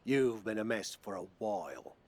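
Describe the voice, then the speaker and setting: A man's voice: fairly low pitch, expressive, normal speed, darkish tone, close to an everyday voice. A man playing a blunt, supportive British wrestling promoter and mentor in a video game cut scene, with a wry manner. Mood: firm, concerned.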